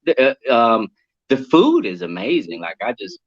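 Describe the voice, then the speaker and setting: A man's voice: mid-range voice, moderate pace. A man, an actor born in Puerto Rico and raised near Atlanta, chatting casually as a guest over a video call. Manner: relaxed, chatty, laughs easily. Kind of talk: casual interview chat. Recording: lo-fi online call audio.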